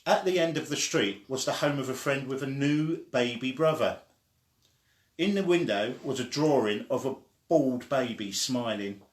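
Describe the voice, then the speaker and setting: A man reading a children's story aloud in an expressive way, acting out the quoted lines. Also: mid-range voice. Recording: echoey room.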